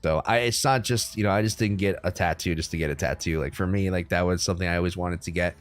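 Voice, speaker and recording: A young man from the United States hosting a crypto livestream, chatting casually with viewers in a relaxed, rambling way full of ums and likes. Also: low-pitched, fast, soft background music. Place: room at home.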